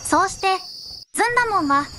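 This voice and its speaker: A young-sounding synthetic woman narrating a fairy tale in measured storybook phrasing. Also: extremely high pitch, lively intonation, background noise.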